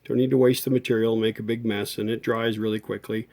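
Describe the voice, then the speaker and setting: A man's voice: fairly low pitch, little pitch movement, quick pace, creaky, background noise. A middle-aged man giving a casual hands-on repair tutorial, talking in an informal, folksy way as he works. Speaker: host of a vintage radio repair channel.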